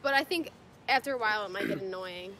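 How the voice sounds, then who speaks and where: A woman's voice: mid-range voice, fast talker, bright, forceful tone, a little hiss or hum. A young woman answering a street interview on a campus lawn, blunt and self-assured with casual slang. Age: young adult. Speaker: student interviewee.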